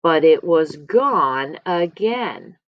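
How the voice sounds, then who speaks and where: A woman storyteller reads a children's picture book in a highly animated voice that rises and falls widely. She sits a little low in pitch and leans on words with dramatic pauses. The recording is dry and slightly dull. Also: no room sound. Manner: expressive, dramatic pauses and emphasis. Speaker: read-aloud storyteller.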